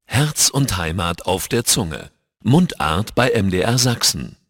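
A man's voice: on the low side, sing-song, takes it slowly. A man reading a scripted radio promo as a station announcer.

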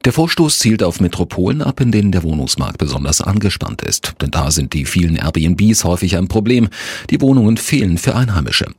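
A man's voice: low-pitched, very animated, brisk, husky, no room sound. A man delivering a news report as a correspondent.

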